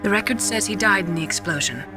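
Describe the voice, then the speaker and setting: An actress playing a reserved, measured female mission adviser in a video game, speaking in a hushed way. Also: medium pitch, lively intonation, music in the background, lightly acted. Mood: reserved, concerned.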